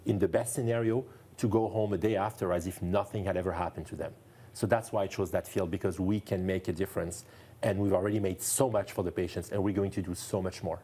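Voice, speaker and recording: A man from France speaks English with a French accent, his voice deep and mellow. He talks as a guest doctor in a TV studio interview, earnest and enthusiastic, often repeating a phrase to stress it. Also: husky. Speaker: neurologist guest.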